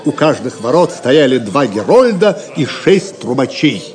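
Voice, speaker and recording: A man's voice: mid-range voice, big pitch swings, unhurried, a little mellow, faint music behind. A man giving dramatic scripted narration for an audio drama. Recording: crowd noise behind. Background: crowd of spectators.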